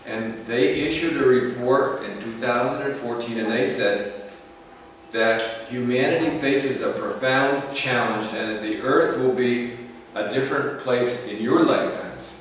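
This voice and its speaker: An American man giving a slow, explanatory lecture to a school class, often checking in with "okay?". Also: mid-range voice, fairly even intonation, a little hiss or hum. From United States. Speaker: English teacher. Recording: echoey classroom from far off.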